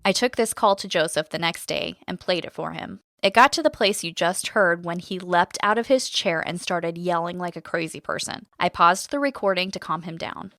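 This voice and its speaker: Casting: a sincere, questioning female radio host and podcaster, slightly low register, for a scripted mystery drama. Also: normal speed, few pauses, a bit bright, dry recording, clean studio sound. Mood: pensive. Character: earnest, doubting woman radio host and investigative podcaster. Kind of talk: scripted audio-drama narration and dialogue. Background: music.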